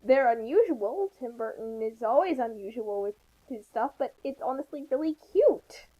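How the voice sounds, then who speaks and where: A woman with a high voice offers casual, joking commentary. She is wry and sarcastic, and the recording of her is somewhat dull and lo-fi. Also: expressive, normal speed. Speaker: co-commentator on a fan fiction reading.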